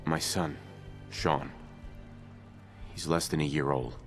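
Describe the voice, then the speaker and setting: Low-voiced, earnest weary hero. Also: male voice, steady tone, slow pace, faint music behind, full character voice. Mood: grave, pleading. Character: earnest, weary father searching for his kidnapped son. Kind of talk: video game dialogue acting.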